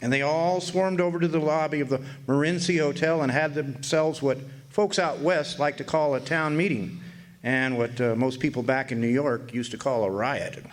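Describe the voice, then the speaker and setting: A man's voice: a little high, moderate pace, lightly acted. A man playing a folksy townsman who narrates a radio drama in a colloquial storytelling style. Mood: wry recounting.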